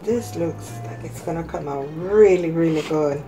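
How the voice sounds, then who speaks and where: A woman with a slightly hoarse voice hosting a casual cooking demonstration, talking step by step in a halting way. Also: sits low, mellow, faint music behind. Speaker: cooking vlog host. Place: home kitchen, indoors.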